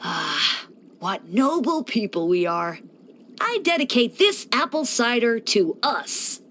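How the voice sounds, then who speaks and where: Lively, mischievous young male deacon. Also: fairly high pitch, takes it slowly, a little hiss or hum, exaggerated voice. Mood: grand, playful. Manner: breezy, teasing, theatrical, with mock solemnity. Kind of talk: scripted video game character voice lines.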